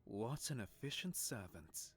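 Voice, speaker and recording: An actor playing a grumpy, bashful young prince in a visual novel game, with a halting, easily embarrassed delivery. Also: male voice, mid-range voice, very animated, fairly bright tone. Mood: dry, haughty. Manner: stammering, halting when embarrassed.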